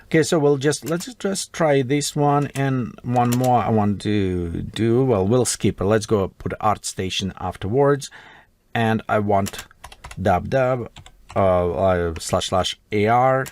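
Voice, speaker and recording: A man's voice: on the low side, takes it slowly, darkish tone, slight background noise. Russian-accented man typing through a tutorial. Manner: thinks aloud while typing, with broken non-native grammar. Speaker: tutorial host. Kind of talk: screen-recorded software tutorial.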